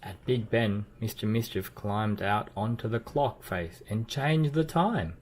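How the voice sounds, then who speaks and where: Low-voiced man reading a children's storybook. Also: unhurried. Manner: expressive storytelling with playful emphasis and sound effects. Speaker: children's book reader. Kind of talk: read-aloud storybook narration.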